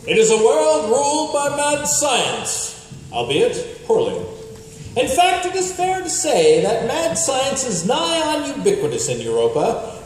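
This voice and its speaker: A man's voice: very high-pitched, darkish tone, heavily acted. Very echoey, bombastic radio serial announcer, a man performing. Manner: grandiose, melodramatic with dry humour. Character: bombastic, wry serial announcer of pulp adventures. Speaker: narrator. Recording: very echoey hall, far mic, noise.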